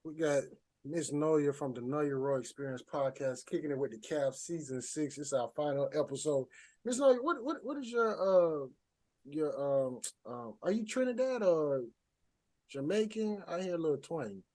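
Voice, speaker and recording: Male podcast host, fairly high-pitched, informal slangy talk, casual interview style. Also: lively intonation, moderate pace, a little mellow.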